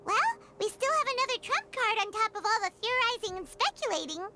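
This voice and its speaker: Squeaky, very high-pitched fairy sidekick. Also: female voice, lively intonation, a little fast, heavy background noise. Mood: curious, chatty. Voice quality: squeaky, childlike. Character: tiny childlike floating fairy companion, chirpy and eager. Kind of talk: video game voice acting.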